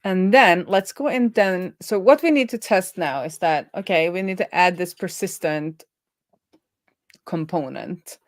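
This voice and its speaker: A woman live-streaming as a programmer, thinking aloud in a casual, halting way as she mutters through her work. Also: on the low side, dry recording. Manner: halting, muttering to herself while working.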